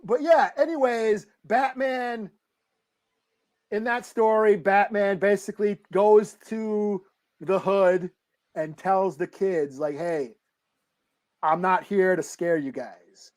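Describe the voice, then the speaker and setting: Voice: medium pitch, takes it slowly, a little mellow. A podcast co-host chatting and debating casually, in informal, slangy language with plenty of swearing. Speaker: podcast co-host discussing comic books.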